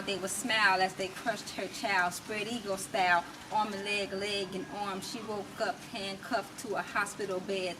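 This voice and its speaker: An American woman with a mid-range voice speaks as an interview guest and spoken-word poet. Her phrasing is expressive and rhythmic. She sounds set back from the microphone, with plenty of ambient noise around her. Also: medium pitch. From United States. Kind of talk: casual interview talk with spoken-word poetry recitation. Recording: noisy, distant mic with ambient sound.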